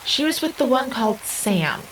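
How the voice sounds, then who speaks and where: A fairly high female voice acted by a woman plays a naive, curious part-human youngster in an audio drama. She talks in stilted, literal, formal sentences. There is faint rain-like noise around her. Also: very animated, normal speed, low-quality recording. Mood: curious, matter-of-fact. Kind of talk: scripted audio drama acting.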